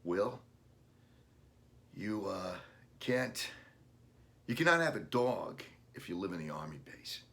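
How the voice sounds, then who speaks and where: A man reading a children's storybook, playing an earnest, sympathetic workmate who speaks haltingly. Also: sits low, sing-song, fairly bright tone, full character voice. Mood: sorry, insistent. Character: sympathetic male workmate bearing bad news.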